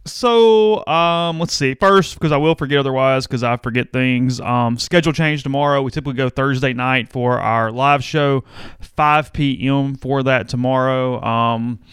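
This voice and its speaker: Smooth-voiced man hosting. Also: medium pitch, normal speed, no echo, studio quality. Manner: relaxed, easygoing. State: slightly hoarse. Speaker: podcast co-host. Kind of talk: casual sports talk and live ad reads. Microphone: studio microphone.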